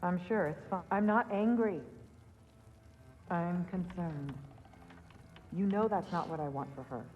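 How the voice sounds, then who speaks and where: A woman's voice: on the low side, unhurried, long pauses, long reverb tail, phone-quality sound, a little hiss or hum, close to an everyday voice. An actress playing a worried queen who speaks earnestly and firmly to her family. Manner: earnest and firm.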